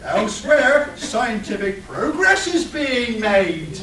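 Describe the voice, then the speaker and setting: Man as a very high-pitched dramatic serial narrator. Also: very animated, slow pace, sharp tone, exaggerated voice. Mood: mock-serious. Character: dramatic, mock-serious superhero serial narrator. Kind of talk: live comic radio play narration. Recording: echoey, distant live stage capture.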